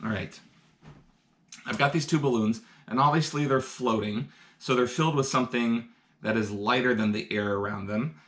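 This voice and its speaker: A man's voice: medium pitch, normal speed, a bit bright, roomy sound. A man teaching science through a live demonstration, upbeat and explaining each step for kids indoors. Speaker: science educator. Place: indoor room.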